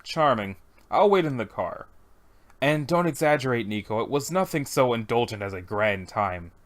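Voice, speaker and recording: A reader voicing a fastidious, polite professional killer in a dry, formal, understated manner. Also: mid-range voice, expressive, lightly acted. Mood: disapproving.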